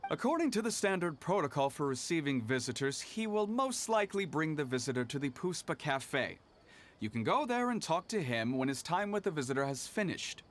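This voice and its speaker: An actor playing a courteous, businesslike guild receptionist in a video game, explaining things methodically. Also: male voice, medium pitch, fairly bright tone, a little hiss or hum. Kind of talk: scripted game dialogue.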